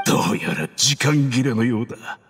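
A man's voice: medium pitch, lively intonation, moderate pace, slight background noise, close to an everyday voice. A man playing a proud, defiant leader of desert mercenaries in an anime game, with a theatrical, commanding delivery. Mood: grim, resigned. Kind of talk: dramatic anime game voice acting.

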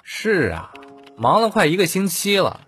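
A man's voice: sits high, wildly swooping pitch, unhurried, fairly bright tone, faint music behind, voice pushed up, full character voice. A man voicing a resigned, hardworking young male office team leader, weary and earnest, in an audiobook.

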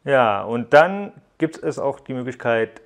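A man's voice: mid-range voice, lively intonation, measured pace. Man giving a casual tech walkthrough. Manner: explanatory, addressing viewers directly. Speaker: app reviewer, host. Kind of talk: casual hands-on tech review narration.

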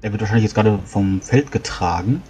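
A man's voice: on the low side, fairly quick, crowd noise. A man chatting casually as a player at a tabletop role-playing game.

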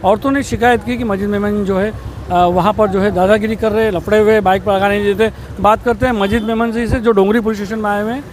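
A man in his thirties reports from a busy city street at night, speaking into a handheld mic. His voice sits high, and he keeps pressing the people he interviews, often cutting in. Traffic and street sounds carry on around him. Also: fairly even intonation, quick pace. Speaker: local news reporter. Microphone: handheld mic held close.

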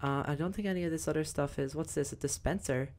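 A young woman with a slightly croaky voice, chatting casually through gameplay commentary with lots of fillers. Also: sits low, fast talker, darkish tone, slight background noise. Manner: chatty, rambling, many fillers. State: croaky, voice recovering. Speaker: gaming video host.

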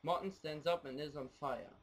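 A man's voice: fairly high pitch, moderate pace, heavy background noise. A young man from Germany giving scripted narration for an amateur radio play.

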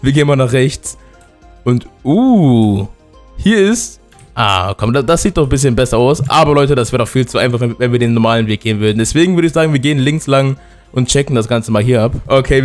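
A hoarse man with a cold, giving excited let's-play commentary and often breaking into loud outbursts. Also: medium pitch. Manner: excitable, loud outbursts, keeps addressing viewers. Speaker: gaming YouTuber.

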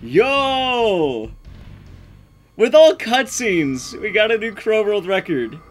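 A man's voice: high-pitched, very animated, slow pace, faint music behind. A young man giving casual, sweary, self-deprecating live commentary as he speedruns a video game. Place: small room at home, game sound behind.

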